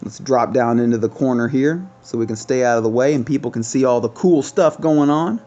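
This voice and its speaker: Mellow-voiced man hosting gameplay commentary. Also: medium pitch, lo-fi sound. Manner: chatty, thinks aloud with trailing pauses. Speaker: gaming video host.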